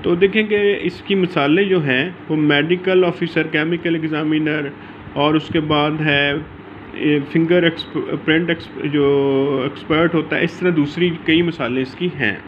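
A man's voice: on the high side, steady tone, unhurried, dull audio, a little hiss or hum. A man with a Pakistani accent gives an informal law lecture from notes, mixing English legal terms into his speech.

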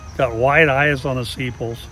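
A man's voice: medium pitch, steady tone, normal speed, fairly bright tone, faint music behind, poor audio. An older man in his sixties from Ohio, joking and showing off his garden outdoors. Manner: joking, folksy. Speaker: garden owner. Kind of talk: casual interview.

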